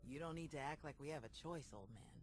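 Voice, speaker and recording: A battle-hardened female Jedi in a game cutscene, with clear noise around the voice. She is dry and blunt, carrying a weary sardonic edge. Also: deep voice, lively intonation, fast. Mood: sardonic, irritated. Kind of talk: video game cutscene acting.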